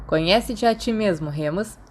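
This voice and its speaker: A woman voicing a cheerful, kindly and energetic older male professor in an audiobook story, warm and gently teasing. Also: high voice, normal speed, a little hiss or hum, natural voice. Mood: warm, amused.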